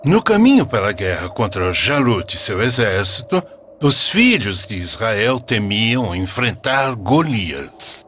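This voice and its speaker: A man playing a solemn, kindly grandfather with a Brazilian accent and husky voice, narrating religious stories reverently in a cartoon. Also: lowish voice, sing-song, a bit bright, slight background noise, exaggerated voice. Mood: solemn storytelling. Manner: measured, reverent. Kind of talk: scripted storytelling narration.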